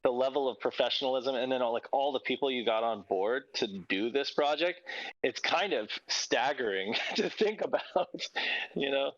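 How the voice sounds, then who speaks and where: A man's voice: medium pitch, normal speed, dry recording, dull audio. A man chatting casually as a podcast host, with an enthusiastic, effusive way of talking. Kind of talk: casual chat.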